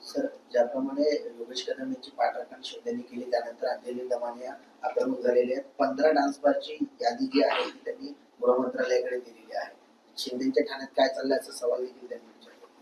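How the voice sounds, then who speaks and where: A man's voice: mid-range voice, little pitch movement, fast talker, a little mellow. A man working as a news reporter, putting questions at a press gathering. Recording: roomy, noisy press gathering.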